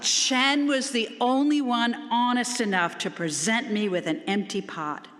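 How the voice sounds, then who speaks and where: A woman's voice: on the high side, measured pace, a bit bright. Woman reading as an aging, formal king in a hall. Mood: proud, firm. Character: aging king, grand and kindly, announcing decrees. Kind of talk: storytelling character voice. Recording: long hall reverb.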